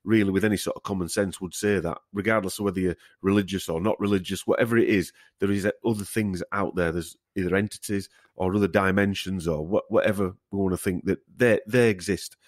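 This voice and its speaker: A man's voice: deep voice, fairly even intonation, fairly quick, hardly any pauses, no room sound, clean studio sound. A man hosting a podcast, giving opinions in rambling casual chat with tag questions. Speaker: podcast host.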